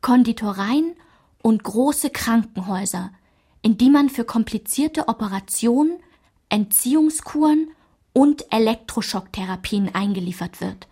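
A woman performing a narrator who recalls her small-town French childhood in a literary radio play, in a measured, enumerating delivery. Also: on the high side, expressive, warm tone, husky, full character voice. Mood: measured, reflective.

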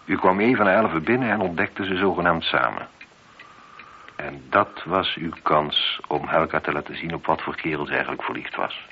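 Deep-voiced, shrewd police inspector. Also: male voice, bright, forceful tone, heavily acted. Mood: measured, accusing. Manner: measured, probing, politely insistent. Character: shrewd, methodical male chief police inspector confronting a suspect. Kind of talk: radio play dialogue. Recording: dull, noisy old radio play recording.

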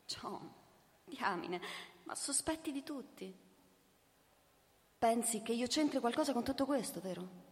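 A worried, sceptical wife, echoing hall. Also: female voice, on the high side, lively intonation. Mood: exasperated, pleading. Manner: halting, pleading, reproachful. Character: police chief's concerned, sceptical, exasperated wife. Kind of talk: theatrical radio drama acting. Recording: reverberant hall, faint music behind.

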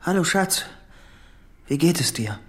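A man's voice: fairly high pitch, expressive, measured pace, fairly bright tone, a little hiss or hum. An actor playing a lovesick, insistent man in a German radio play, speaking in a hesitant, pleading way. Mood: eager, affectionate.

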